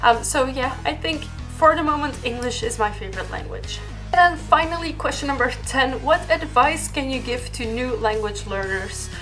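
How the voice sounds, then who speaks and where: High-voiced young woman. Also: animated, moderate pace, fairly bright tone, soft background music. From Belgium. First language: Dutch. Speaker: vlogger. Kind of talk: casual question-and-answer vlog to camera.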